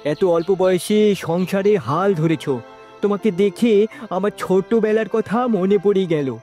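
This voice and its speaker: A man acts out a kindly, self-made older villager in a moral-story cartoon. His tone is mellow and relaxed, his manner paternal and inquisitive. Faint music sits behind him. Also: sits high, a little slow. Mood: sympathetic.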